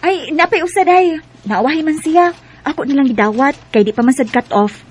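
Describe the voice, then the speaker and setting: An actress playing a friendly, concerned office coworker in a radio drama, chatty and husky. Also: female voice, high voice, lively intonation, fairly quick, darkish tone.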